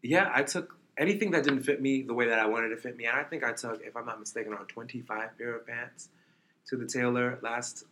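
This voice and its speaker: Bright-toned chatty man in his thirties. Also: medium pitch, fast talker, a little hiss or hum. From New York. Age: 30s. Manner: chatty, laid-back, peppered with "like" and "you know". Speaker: interview guest. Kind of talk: casual chat.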